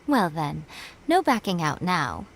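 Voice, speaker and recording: An actress playing a sly, teasing noble lady of a shrine in a video game, coy, theatrical and unhurried. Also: fairly low pitch, sing-song, gravelly, a little hiss or hum, exaggerated voice, low-quality recording. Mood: smug, commanding.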